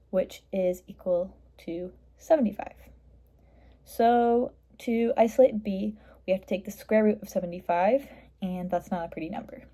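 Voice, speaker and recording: A woman's voice: medium pitch, dark tone. A woman explaining a worked math problem step by step in a matter-of-fact, instructional way, like a tutor.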